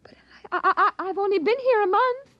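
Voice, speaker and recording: An actress playing a brisk, snappy, easily flustered young woman inspector in a 1950s radio drama. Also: extremely high pitch, dry recording. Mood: shocked, flustered. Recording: dull vintage radio recording.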